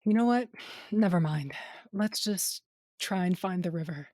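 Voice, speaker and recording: An actress playing a pensive, troubled wife on a fantasy quest in an audio drama, speaking earnestly. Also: female voice, on the low side, expressive, a little slow, mellow, no echo, studio quality.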